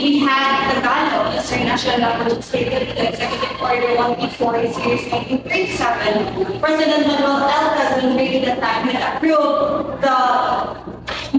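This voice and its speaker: A young woman with a Filipino accent giving a formal, recited panel presentation with occasional stumbles. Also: sits high. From Philippines. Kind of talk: prepared presentation on a student panel. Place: large echoey room, far from mic. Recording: very echoey hall, far mic, loud noise.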